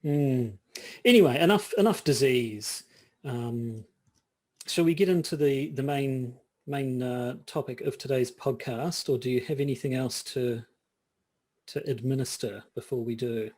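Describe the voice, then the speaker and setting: A man's voice: medium pitch, unhurried, darkish tone. A middle-aged man with a New Zealand accent, co-hosting a podcast in casual chat, often restarting his sentences and repeating words. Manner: hesitant, many false starts and repeated words. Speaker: podcast co-host.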